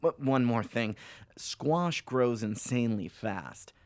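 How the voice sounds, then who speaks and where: Sweary phone salesman, needy and evasive, mid-pitched, audio drama, very dry recording. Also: expressive, slow, no echo. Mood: sheepish, rambling. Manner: rambling, sweary, hedging. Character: desperate hard-drinking philandering phone salesman, evasive and needy.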